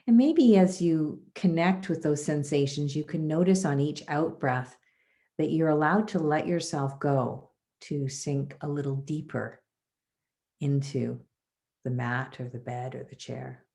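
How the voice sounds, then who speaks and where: Very low, creaky-voiced woman guiding meditation. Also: dark tone. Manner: soothing, measured, unhurried pauses between phrases. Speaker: meditation teacher leading a class. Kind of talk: guided body scan meditation.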